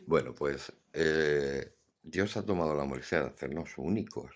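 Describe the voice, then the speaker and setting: Older guy, super deep rough voice, blunt preachy podcast rant. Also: a little slow. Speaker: podcast host. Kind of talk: casual philosophical monologue.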